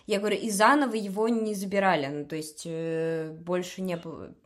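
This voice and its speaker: Low-voiced woman asking careful questions. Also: steady tone, fairly quick. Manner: careful, probing, checks her understanding. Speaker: interviewer. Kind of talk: interview questions.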